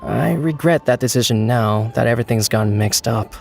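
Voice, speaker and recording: An actor playing an earnest, dutiful event organizer in an anime game, speaking politely and formally. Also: male voice, mid-range voice, moderate pace, gravelly, faint music behind, lightly acted. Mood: regretful.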